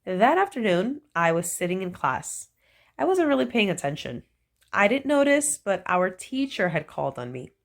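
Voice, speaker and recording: A woman reading a children's picture book aloud, with slow, playful storytelling and animated emphasis. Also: medium pitch, gravelly.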